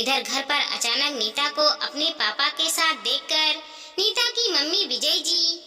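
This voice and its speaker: A woman's voice: high voice, sharp tone. A woman reading a scripted moral story as its narrator. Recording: long hall-like reverb.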